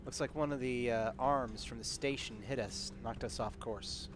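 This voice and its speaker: A man's voice: mid-range voice, steady tone, moderate pace, a little hiss or hum. A man playing a tabletop roleplaying game, talking casually as his character. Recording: music clearly under the voice. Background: clear background music.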